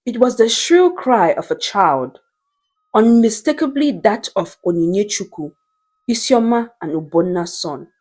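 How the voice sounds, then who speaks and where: A woman narrating a scripted story in a deliberate, dramatic storytelling style. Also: medium pitch, expressive, darkish tone.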